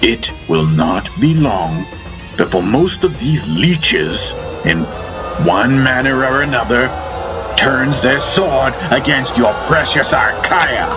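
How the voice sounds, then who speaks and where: A man's voice: medium pitch, lively intonation, takes it slowly, over music, voice pushed up. A man voicing a stern, scornful spiritual master who rebukes rulers in a declamatory, reproachful manner for an audiobook. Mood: scornful warning.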